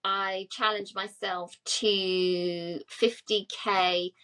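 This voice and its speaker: A woman in her forties from England chats easily on a podcast. She fills her talk with ums and yeahs and laughs often, at a middling pitch. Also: flat delivery, very slow, pushed and bright, smooth. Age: 40s. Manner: chatty, laughs often, many fillers. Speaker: podcast co-host. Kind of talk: casual chat.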